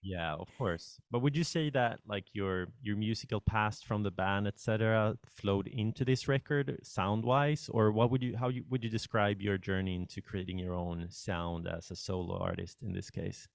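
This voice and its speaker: Male podcast host, deep with vocal fry, dry studio sound. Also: normal speed, few pauses, no room sound. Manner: brief backchannels, wordy searching questions. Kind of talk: interview questions.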